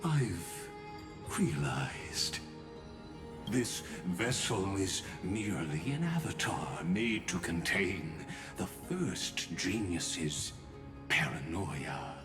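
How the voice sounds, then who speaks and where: An actor playing an ancient, weary mechanical sculptor in a video game, speaking in a measured, theatrical, philosophical way with cool menace. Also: male voice, deep voice, big pitch swings, heavily acted. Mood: bitter, resigned. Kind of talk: scripted video game drama acting. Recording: music under the voice.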